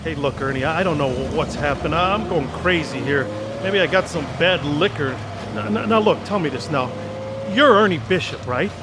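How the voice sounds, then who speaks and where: A man's voice: on the high side, expressive, moderate pace, very noisy, natural voice. An actor playing a desperate, frantic grown man whose family has gone missing, stammering in a radio play. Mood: confused.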